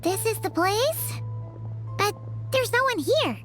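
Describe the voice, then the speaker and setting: Very high-pitched, excitable game girl. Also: slow, soft background music, exaggerated voice. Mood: puzzled. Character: excitable, bossy girl companion, bold and impulsive. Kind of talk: video game voice acting.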